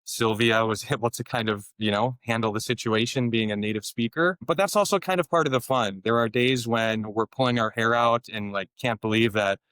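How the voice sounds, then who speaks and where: A man's voice: mid-range voice, fairly quick, hardly any pauses, fairly bright tone, smooth, no echo. A man co-founding a motorcycle tour business, answering interview questions in an easygoing way, thinking aloud with frequent restarts.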